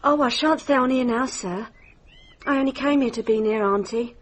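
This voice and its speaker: An actress playing a young, plain-spoken housemaid in a radio drama, deferential in manner. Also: female voice, on the high side, normal speed, a little hiss or hum, close to an everyday voice. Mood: respectful, frank.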